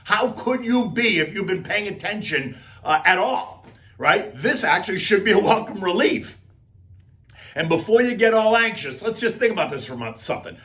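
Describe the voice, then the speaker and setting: A man with a scratchy, hoarse voice giving emphatic, conversational market commentary as a host, full of rhetorical questions and folksy asides. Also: sits high, big pitch swings, moderate pace, bright, forceful tone. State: hoarse, losing voice. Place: outdoors.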